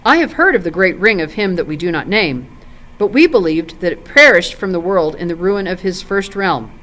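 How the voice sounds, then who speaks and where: Woman as a proud warrior man, forthright, formal old-fashioned speech, animated pitch, storybook. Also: moderate pace, poor audio. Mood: surprised. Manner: forthright, archaic formal phrasing. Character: proud mortal warrior from the South, male. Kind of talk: storybook character in read-aloud.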